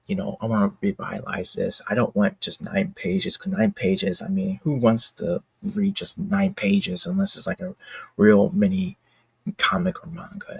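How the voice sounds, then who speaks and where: Husky, low-voiced man rambling casually. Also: no room sound. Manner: rambling, halting, self-correcting. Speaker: indie manga creator and narrator. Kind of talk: casual unscripted behind-the-scenes commentary.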